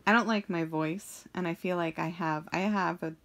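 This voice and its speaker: A young woman with a deep, somewhat monotone voice, chatting in a slow, meandering way with many pauses and ums as she works on a craft for her vlog. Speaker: craft vlogger. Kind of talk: rambling chat while crafting.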